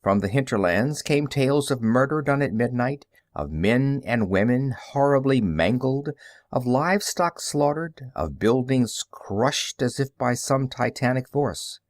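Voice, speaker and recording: Male audiobook narrator, medium pitch, highly animated range, dry close sound. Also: measured pace, no room sound. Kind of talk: scripted story narration with character dialogue.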